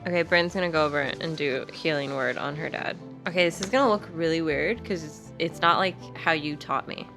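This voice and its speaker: A woman's voice: deep voice, fairly quick, hardly any pauses. A woman chatting casually in character as a player in a tabletop game. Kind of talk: casual role-play chat. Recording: music bed under the voice. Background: background music.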